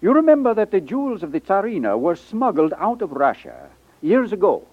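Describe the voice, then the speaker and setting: Courteous, animated old-time radio shopkeeper. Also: male voice, high-pitched, brisk, dry recording, exaggerated voice. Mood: proud, explaining. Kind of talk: 1950s radio drama acting. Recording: muffled 1950s radio recording.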